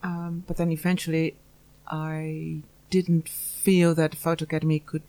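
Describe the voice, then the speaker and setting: Low-voiced woman, hesitant. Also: level tone, moderate pace, mellow, poor audio. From Netherlands. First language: Dutch. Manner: earnest, hesitant, many filler sounds. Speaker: master's student. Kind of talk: interview answers.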